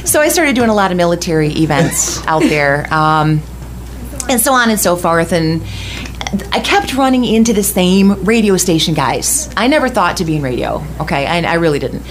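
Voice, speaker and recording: A woman's voice: on the low side, very animated, normal speed, slight background noise. A middle-aged woman from West Michigan, a radio host telling a long story in casual chat, often laughing and restarting her sentences.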